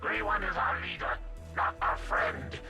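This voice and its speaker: A man's voice: a little high, huge pitch swings, unhurried, music in the background. A man voicing a crude, bickering ghoul henchman in a video game. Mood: crude, sneering. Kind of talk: video game character acting.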